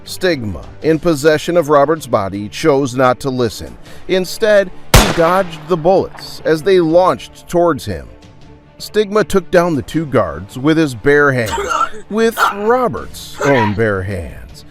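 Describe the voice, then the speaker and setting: Guy narrating an adventure story, big swings in pitch, theatrical pauses, faint music behind him. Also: very animated. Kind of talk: dramatic scripted narration.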